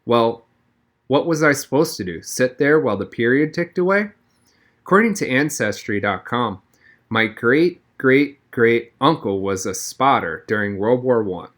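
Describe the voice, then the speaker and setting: A man's voice: medium pitch, lively intonation, a little slow, fairly bright tone. A man reading a children's novel aloud as a first-person audiobook narrator.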